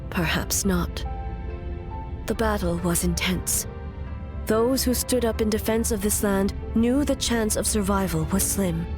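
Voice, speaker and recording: A woman voicing a stoic, aloof immortal shogun in a video game, speaking in a formal, grave and measured way over music. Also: medium pitch, natural voice. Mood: solemn, reflective. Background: background music.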